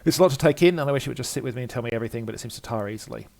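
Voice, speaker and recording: A man acts out a fretful scholar from a fantasy game journal, gravelly and quick. He rambles, repeats himself and frets over his own sanity. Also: mid-range voice, a little mellow, close to an everyday voice. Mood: agitated. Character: anxious, muttering male scholar doubting his own sanity. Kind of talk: dramatic reading of game journal entries.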